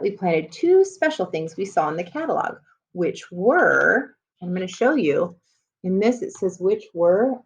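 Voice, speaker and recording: A woman elementary school teacher leading a read-aloud lesson for children, explaining and addressing her listeners as she goes. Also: mid-range voice.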